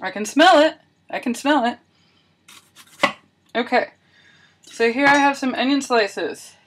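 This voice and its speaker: A woman's voice: sits high, a little slow, slight background noise. A woman video blogger talking casually and thinking aloud, with wry, self-deprecating humour. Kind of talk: casual chatty vlog narration of a homemade experiment.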